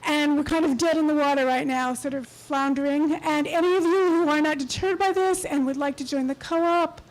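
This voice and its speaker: A woman's voice: high voice, fairly even intonation, fairly quick, poor audio. A woman speaking from the floor at a public Q&A, conversational and slightly wry. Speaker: audience member. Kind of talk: public Q&A comment. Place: lecture hall.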